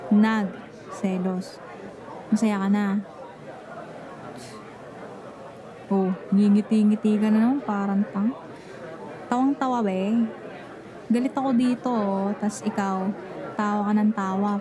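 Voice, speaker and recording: A woman's voice: medium pitch, level tone, unhurried, pauses often, a crowd in the background, lightly acted. A young woman acting a grumpy, jealous friend who feigns nonchalance in an ASMR roleplay, pouty and defensive, slipping casual English into her talk. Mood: jealous, flustered. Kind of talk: Tagalog ASMR roleplay acting, one-sided conversation.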